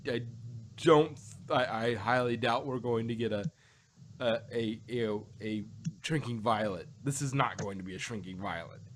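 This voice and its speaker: A man co-hosting a podcast, talking casually about a show in a halting, rambling way with frequent restarts. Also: medium pitch, slight background noise. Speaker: podcast co-host.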